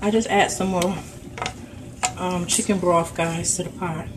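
A woman's voice: fairly low pitch, steady tone, unhurried, noisy background. Chatty Jersey woman cooking live. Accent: New Jersey. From New Jersey. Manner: chatty, talks to viewers while stirring. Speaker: home cook livestreaming. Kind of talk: casual cooking livestream chat. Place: home kitchen. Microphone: phone held over the stove.

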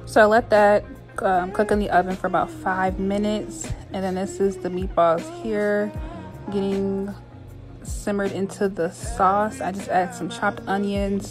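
A young woman in her twenties chatting casually as she walks through a home cooking recipe, with lots of fillers. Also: mid-range voice. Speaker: cooking vlogger. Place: home kitchen, then a small bright bedroom.

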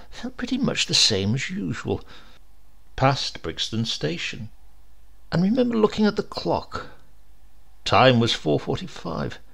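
Man acting an animated, troubled English gentleman diarist. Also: a little high, gravelly, breathy edge, heavily acted. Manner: clipped, telegraphic diary phrasing. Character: troubled, precise English gentleman writing his diary.

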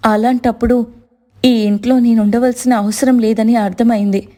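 A woman voicing a long-suffering, gentle young wife in a moral story, speaking in an earnest, measured way. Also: a little high, fairly even intonation, close to an everyday voice. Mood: hurt, dignified. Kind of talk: dramatized moral story dialogue.

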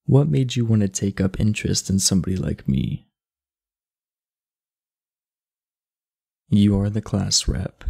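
A man acts out a big, aloof class delinquent in an intimate ASMR roleplay. His voice is low and husky with heavy fry, and it moves widely in pitch. He talks bluntly and confidingly, laid-back but secretly earnest. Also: a little mellow, heavily acted. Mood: casual, curious. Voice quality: gravelly, husky.

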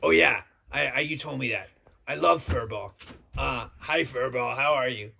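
A man's voice: medium pitch, normal speed, gravelly, bright, forceful tone, a little hiss or hum, pitched below the performer's own voice. A man voicing a laid-back male shark puppet with a casual, blunt manner. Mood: awkward.

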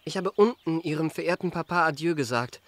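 An actor playing a suave young gentleman charmer in a radio drama, courteous and ornately polished. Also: a little high, brisk. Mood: courteous, wistful. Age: young adult. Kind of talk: German radio drama acting.

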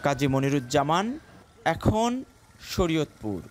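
A man narrating a television news report in a measured way, with sentences that fall at the end. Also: on the high side, sing-song, slight background noise. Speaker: field reporter.

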